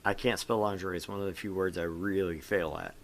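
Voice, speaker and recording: A man's voice: low-pitched, steady tone, quick pace, a little hiss or hum. A man hosting a casual visual novel playthrough, reading text aloud and joking around in a goofy way. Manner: goofy, jokey, switches between reading and banter. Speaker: gaming show host and reader.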